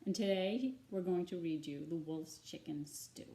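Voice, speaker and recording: A woman reading a children's story aloud in an expressive, deliberate storytelling manner. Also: on the low side. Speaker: storybook reader.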